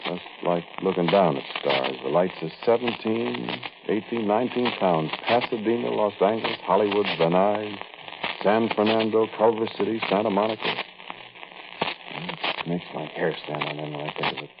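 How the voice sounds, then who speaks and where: An actor playing a world-weary bank robber telling his story in a halting, colloquial, conversational way, in 1940s radio drama style. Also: lowish voice, creaky. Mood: brooding, confiding. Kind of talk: 1940s radio drama first-person narration. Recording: muffled, noisy vintage radio recording.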